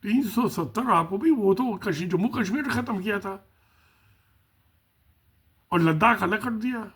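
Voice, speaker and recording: A man's voice: sits high, moderate pace, fairly bright tone, slightly rough. A man giving casual spoken political commentary, musing and repeating himself, asking rhetorical questions.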